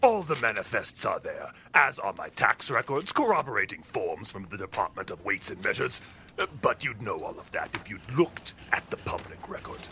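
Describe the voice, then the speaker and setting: A man's voice: on the low side, sing-song, normal speed, sharp tone, a little hiss or hum, exaggerated voice. An actor playing a sly, smug crime boss posing as a businessman in an audio drama, pompous and taunting, slipping between murmurs and flourishes.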